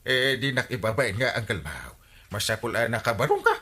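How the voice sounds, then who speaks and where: Comedy radio village captain: Ilocano accent, wildly swooping delivery, forceful bright voice, bossy and scolding. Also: male voice, medium pitch, big pitch swings, brisk, husky, full character voice. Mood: insistent, bossy. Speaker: radio drama actor. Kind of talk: Filipino radio comedy drama acting.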